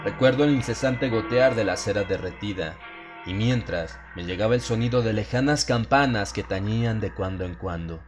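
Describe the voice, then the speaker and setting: A man with a fairly low voice reads a gothic horror tale. His delivery is solemn and brooding, like a dramatized audiobook, with music playing behind him. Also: normal speed. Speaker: audiobook narrator.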